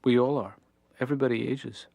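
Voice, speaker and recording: An actor playing a calm, low-key therapist talking with a patient in a cartoon. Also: male voice, fairly quick, vocal fry, dry recording.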